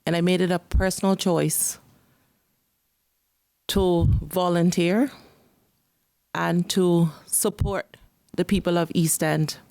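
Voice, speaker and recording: An earnest Caymanian woman with a lowish voice, making a campaign speech and pressing her points with emphasis. The sound is dry and close, with almost no room echo. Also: fairly even intonation, slow, creaky, long pauses, a little mellow. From Cayman Islands. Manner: earnest, emphatic, repeats key phrases. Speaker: election candidate.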